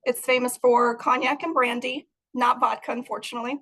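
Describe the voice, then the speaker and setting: A woman's voice: fairly high pitch, steady tone, brisk, a bit bright. A young adult woman from Moldova, giving an earnest, prepared talk about her art over a video call. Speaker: guest artist.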